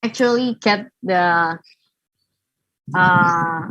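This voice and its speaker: Slow, shy young woman on a dull line. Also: on the low side, fairly bright tone, dry recording. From Indonesia. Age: young adult. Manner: shy, halting English with fillers. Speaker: student guest. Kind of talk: interview answers. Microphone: video call. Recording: dull video-call audio.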